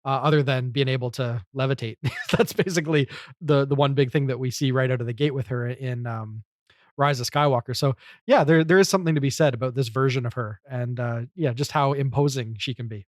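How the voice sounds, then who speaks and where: A man's voice: mid-range voice, fairly quick, dry recording, studio quality. A man co-hosting a podcast, discussing film casually in a thoughtful, explanatory way. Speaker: podcast host.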